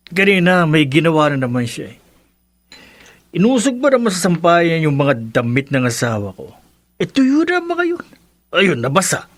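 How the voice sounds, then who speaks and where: An actor playing a patient, embarrassed husband and dutiful son in a Filipino radio drama, talking in a pleading, conversational way. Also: male voice, a little high, no room sound.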